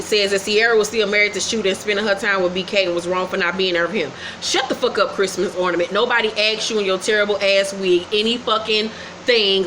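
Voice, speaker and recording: Sassy woman host doing a blunt, profane gossip recap; bright, mid-pitched voice. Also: slight background noise, rough recording.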